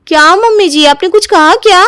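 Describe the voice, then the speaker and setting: A woman playing a sly young Indian daughter-in-law in an animated moral story, eager, insistent and full of mock innocence. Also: very high voice, fairly quick, dry recording, clean studio sound. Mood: mock innocent.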